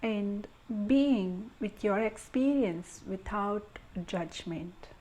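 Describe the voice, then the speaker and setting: Very slow, mellow woman guiding meditation. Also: medium pitch. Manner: gentle, soothing, measured phrases with pauses. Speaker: meditation guide. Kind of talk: guided meditation.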